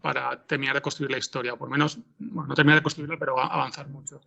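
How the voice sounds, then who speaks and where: A man with a Spanish accent from Spain and a very creaky, fry-laden voice. He talks off the cuff as an interview guest, bright in tone, circling and repeating himself as he searches for words. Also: mid-range voice, flat delivery, very fast. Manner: hesitant, rambling, with frequent repeated words and tag questions. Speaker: interview guest, podcast scriptwriter. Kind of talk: unscripted interview answers.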